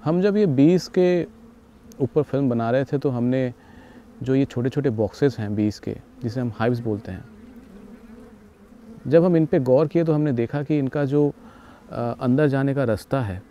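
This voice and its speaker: Very dark, muffled male voice outdoors. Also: mid-range voice, moderate pace, long pauses. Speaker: documentary filmmaker. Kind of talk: unscripted interview talk to camera. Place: outdoors in an open field. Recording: muffled outdoor recording with background noise.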